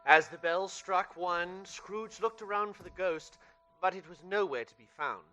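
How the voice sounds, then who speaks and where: Man as bright-voiced dramatic storyteller. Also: high voice, unhurried, faint music behind. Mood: solemn, suspenseful. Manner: dramatic, literary. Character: measured storyteller narrating a Victorian ghost tale. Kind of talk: scripted radio play narration.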